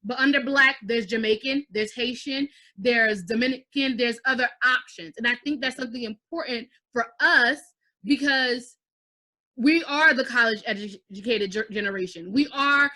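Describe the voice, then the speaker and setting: Bright, high-voiced young woman hosting. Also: moderate pace. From United States. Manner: animated, gestures while talking, mixes in Haitian Creole. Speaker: host. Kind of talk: casual video-call interview. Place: living room, indoors. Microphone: video call webcam.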